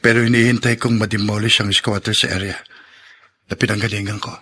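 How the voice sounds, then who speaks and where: A man's voice: lowish voice, flat delivery, a little fast, sharp tone. An earnest young man. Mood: grave. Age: young adult. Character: earnest young man, shy then grimly determined. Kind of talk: radio drama acting.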